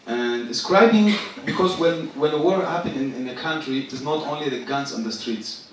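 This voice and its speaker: A young man in his twenties from Syria, a rapper talking on a theater stage, halting and searching for words. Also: fairly high pitch, recorded from a distance, slight background noise. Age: 20s. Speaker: rapper and guest artist. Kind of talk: stage talk. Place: large theater stage with live audience. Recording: echoey stage, picked up from afar.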